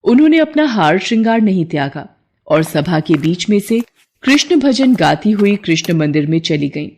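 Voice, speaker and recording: A woman's voice: on the low side, sing-song, moderate pace, darkish tone, a little hiss or hum. A woman giving scripted narration of a devotional moral story.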